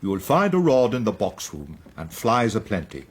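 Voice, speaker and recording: An actor playing a courteous, dry old country squire in a radio drama. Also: male voice, fairly low pitch, wildly swooping pitch, moderate pace, slightly rough, heavily acted. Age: older. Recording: faint background noise, 1990s radio play.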